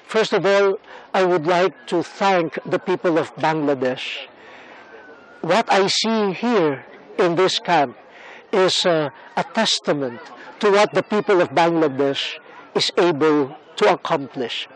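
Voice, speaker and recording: Slow, earnest man speaking outdoors. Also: a little high, poor audio. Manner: measured, earnest, with frequent pauses and fillers. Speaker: church leader visiting a camp. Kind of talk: reflective on-camera statement. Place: outdoors on a rooftop above a camp.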